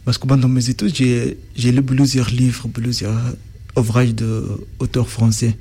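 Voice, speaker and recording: A man's voice: mid-range voice, flat delivery, measured pace, dark tone, breathy edge. A young man from Sudan, a native Arabic speaker, answering interview questions in French with frequent restarts as he searches for words.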